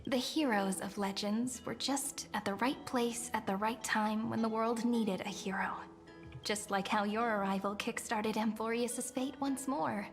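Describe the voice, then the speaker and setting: Cyrene, a soft, breathy game heroine. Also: female voice, on the high side, fairly even intonation, unhurried, faint music behind. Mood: earnest. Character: gentle young woman heroine, tender and hopeful. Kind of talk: game dialogue.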